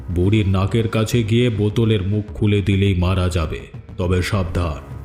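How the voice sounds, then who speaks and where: A man's voice: lowish voice, dark tone, lightly acted. An actor playing a firm, insistent village man in a dramatic fairy-tale cartoon. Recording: echoey studio dub with background music.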